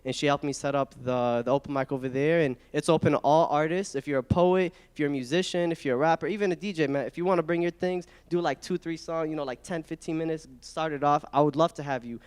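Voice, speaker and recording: A young male comedian with a slightly high, smooth voice tells stories in an informal, animated way. He speaks into a handheld mic, and a long hall echo follows his words. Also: fast talker, few pauses. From Iraq. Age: young adult. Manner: informal, slangy, animated storytelling. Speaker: guest, stand-up comedian. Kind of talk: casual spoken anecdotes before an audience. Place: indoor event hall stage, small live audience. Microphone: handheld stage microphone. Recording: echoey hall through handheld microphone.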